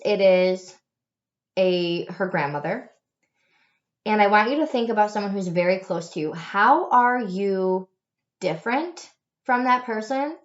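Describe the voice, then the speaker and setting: A woman teacher talks to her students in a gentle, patient, encouraging way after reading a chapter book aloud. She often restarts her sentences and uses fillers. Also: medium pitch, lo-fi sound. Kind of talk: recorded lesson for students.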